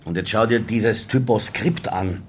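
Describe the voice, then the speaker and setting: An actor playing a weary middle-aged police inspector in a radio crime drama, with an Austrian accent and muttered sardonic asides. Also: male voice, fairly low pitch, a little slow, a little hiss or hum, natural voice. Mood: brisk, commanding.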